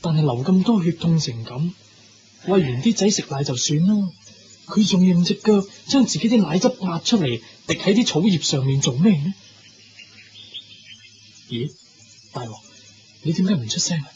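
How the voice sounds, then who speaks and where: A man's voice: a little high, expressive, normal speed, long pauses, a little mellow, faint music behind, lightly acted. A man with a Hong Kong Cantonese accent playing a puzzled, respectful royal guard in a Buddhist radio drama. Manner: inquisitive, respectful. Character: deferential, puzzled royal guard attending a king. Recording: dull lo-fi recording with faint noise.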